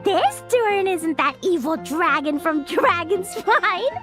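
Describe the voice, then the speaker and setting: Little sprite companion, very high squeaky voice, unhurried pace, excitable, loyal, video game acting. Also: female voice, faint music behind, exaggerated voice. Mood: cheerful, chatty. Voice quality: squeaky, childlike.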